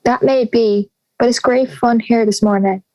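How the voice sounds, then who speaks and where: Blunt schoolmate passing on gossip young woman acting, matter-of-fact, slightly high voice, radio play style. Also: fairly even intonation, normal speed, darkish tone, close to an everyday voice, poor audio. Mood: urgent, gossipy. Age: teenage or young adult. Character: blunt gossipy school friend on a phone call. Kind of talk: audio drama dialogue.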